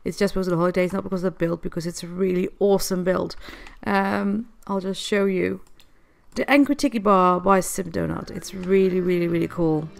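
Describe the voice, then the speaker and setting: A woman's voice: on the low side, steady tone, a little fast, gravelly. A woman with a cold, chatting through a life-sim game and talking to the characters. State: has a cold. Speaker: gameplay host. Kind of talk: casual gameplay commentary.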